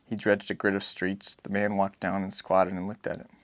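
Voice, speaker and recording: A man's voice: deep voice, completely flat delivery, moderate pace, dry recording. A man narrating a literary novel as a dramatic audiobook reading.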